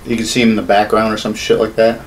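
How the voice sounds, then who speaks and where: A man's voice: fairly low pitch, completely flat delivery, fast. A man in his thirties bantering casually as a co-host, joking and swearing freely. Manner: joking, foul-mouthed. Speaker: podcast co-host. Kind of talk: casual banter about video games. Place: small indoor home studio room.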